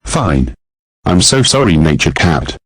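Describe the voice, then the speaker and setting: A man playing a spoiled, foul-mouthed boy who sulks and defies in a low, husky voice. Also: very animated, measured pace, no echo, studio quality, exaggerated voice. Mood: sulky. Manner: defiant, sulky, swearing.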